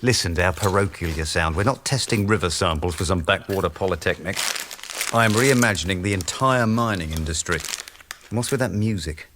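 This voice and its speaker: An actor in a radio drama playing a pompous, vain, snobbish research boss with a sardonic, biting delivery. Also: male voice, sits low, fairly quick, noisy background, close to an everyday voice. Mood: snobbish, impatient.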